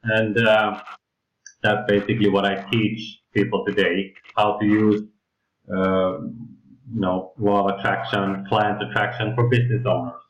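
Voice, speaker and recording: A man's voice: fairly low pitch, fairly bright tone, reverberant, rough recording. A man from Sweden co-hosting a coaching webinar, talking casually with many fillers and checking in with "yeah?". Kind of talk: casual presentation.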